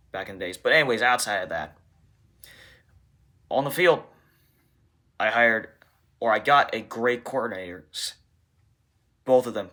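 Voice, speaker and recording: A man with a fairly low, bright and forceful voice speaks as a football coach fielding press questions. He phrases things deliberately, in short halting bursts. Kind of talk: press conference answers.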